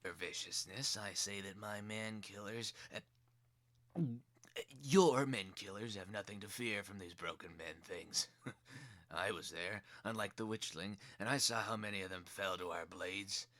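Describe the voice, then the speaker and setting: A man's voice: lowish voice, a little slow, bright, forceful tone. A man voicing a boastful, scornful rat general. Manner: boastful, dismissive.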